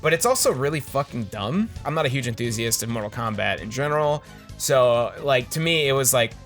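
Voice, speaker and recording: A man's voice: medium pitch, lively intonation, fairly quick, a bit bright, faint music behind. A man co-hosting a review show in casual, jokey banter with some swearing. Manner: profane, jokey. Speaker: co-host of a movie review show.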